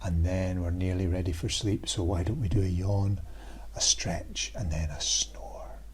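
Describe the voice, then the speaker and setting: A man reading a picture book aloud to young children in an expressive, animated storytelling way, as its author. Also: low-pitched, unhurried, a little hiss or hum. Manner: expressive, addresses young listeners directly.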